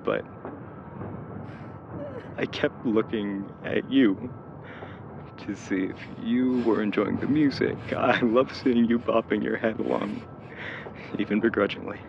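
A man acting in an audio drama as a lovestruck young man, awkwardly tender and teasing, with a halting, hesitant way of talking. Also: fairly low pitch, steady tone, gravelly, dull audio, background noise. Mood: wistful reminiscing.

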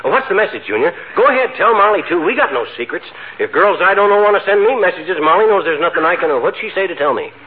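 Blustering American husband, tinny old radio. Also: male voice, high-pitched, expressive, fast, hardly any pauses. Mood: flustered, eager. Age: middle-aged. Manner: boastful, folksy, flustered bluster. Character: blustering, vain middle-aged husband, small-town braggart. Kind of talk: 1940s radio comedy acting. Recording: tinny, noisy 1940s radio recording.

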